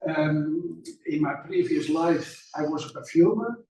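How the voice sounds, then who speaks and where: An older man with a Dutch accent, telling the group about himself at a gallery talk in an unhurried, rambling way. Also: on the high side, gravelly, darkish tone, recorded from a distance, slight background noise. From Netherlands. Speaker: exhibiting artist. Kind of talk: self-introduction at a gallery talk. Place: gallery room. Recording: echoey room from afar.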